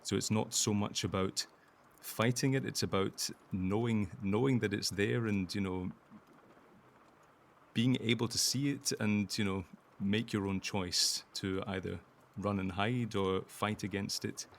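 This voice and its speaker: Male panel guest, very low and creaky, earnest and halting in conversation, some background noise. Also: steady tone. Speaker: interview guest, voice actor.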